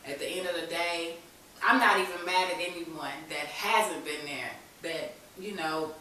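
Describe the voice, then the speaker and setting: Woman w low voice telling her story on a talk show, really echoey, far from mic w some hiss. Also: measured pace, pushed and bright. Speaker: talk show guest in recovery. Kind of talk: personal testimony in a conversational interview.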